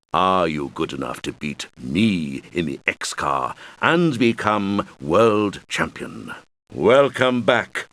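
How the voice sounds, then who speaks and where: A suave, showy male island boss from a racing video game. His voice sits low and moves up and down with lively, animated intonation. He guides the player in a deliberate, theatrical way, like a scripted tutorial host. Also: full character voice. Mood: grandly congratulatory.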